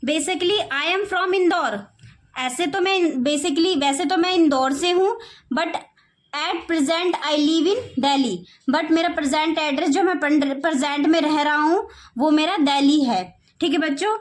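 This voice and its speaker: A woman teaching spoken English to children, slowing right down to model each phrase and often checking in with "okay, children.". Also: high-pitched. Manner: slows down sharply to model English phrases word by word; repeats phrases and keeps saying "okay, children". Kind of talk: classroom-style lesson in Hindi.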